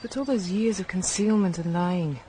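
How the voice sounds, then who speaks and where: An actress playing a concerned woman gently questioning a friend in a radio crime drama. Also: fairly low pitch, moderate pace, slight background noise, lightly acted, poor audio.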